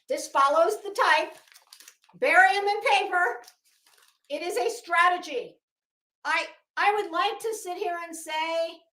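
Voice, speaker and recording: Casting: female opinion commentator, slightly high voice, unhurried pace, emphatic and cuttingly scornful, foul-mouthed, dramatic pauses. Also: bright, forceful tone, echoey room. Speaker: online commentator. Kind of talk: informal opinionated commentary on a legal dispute.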